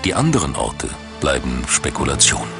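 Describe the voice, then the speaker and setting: Male documentary narrator, very deep, measured and dramatic, music bed underneath. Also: wildly swooping pitch, vocal fry. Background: background music.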